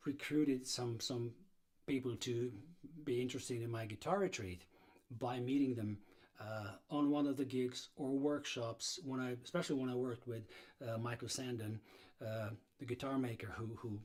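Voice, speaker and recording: A man's voice: medium pitch, darkish tone. A middle-aged man from Serbia, hosting a blues guitar livestream in a casual, rambling way with many fillers and restarts.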